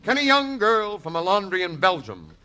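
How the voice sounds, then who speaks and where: A wisecracking ex-prime minister. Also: male voice, sits high, very animated, normal speed, sharp tone, heavily acted. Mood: scheming. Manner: wisecracking, vaudeville comic timing. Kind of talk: 1940s radio acting.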